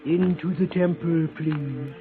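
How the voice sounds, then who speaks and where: A man's voice: on the high side, fairly even intonation, takes it slowly. An actor playing a solemn, gray-haired old doorman at a seance house, formal and ceremonious, in 1950s radio style. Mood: solemn, eerie. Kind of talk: 1950s radio drama acting. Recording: tinny, noisy vintage radio recording.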